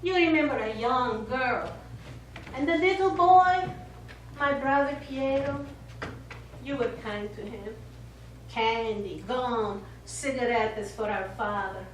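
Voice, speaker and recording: A distant, very reverberant stage recording of an actress playing a bitter, wounded older Italian woman. She speaks high and slowly, weighing her words as accusations in a theatrical drama. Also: animated, a little hiss or hum. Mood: guarded, bitter. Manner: deliberate, accusing. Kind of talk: stage play acting. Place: small theatre studio, recorded from afar.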